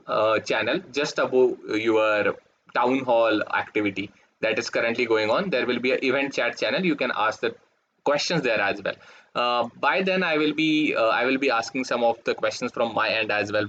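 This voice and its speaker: Halting host on a muffled call. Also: male voice, medium pitch, fairly bright tone. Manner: halting, with many "uh" fillers. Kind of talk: hosting an online community event. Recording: muffled call audio with faint noise.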